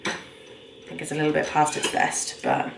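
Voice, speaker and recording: Young woman, stuffy cold voice, low-pitched, rambling casual chat, echoey kitchen. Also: big pitch swings, normal speed, gravelly. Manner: rambling, conversational, talks while cooking. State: congested with a cold. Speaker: vlogger. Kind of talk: casual chatty vlog. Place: home kitchen. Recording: echoey room, faint noise.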